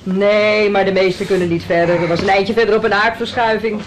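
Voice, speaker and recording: An actor playing a gruff village innkeeper in a radio play, blunt and full of complaints. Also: male voice, high voice, steady tone, fairly quick, a little hiss or hum, close to an everyday voice, poor audio. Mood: gruff, grumbling. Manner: blunt, grumbling.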